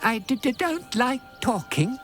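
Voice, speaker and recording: A woman voicing a shy, anxious talking cat in a video game, stammering and halting as she pleads. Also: fairly high pitch, very animated, faint music behind. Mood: timid, sad. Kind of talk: video game character acting.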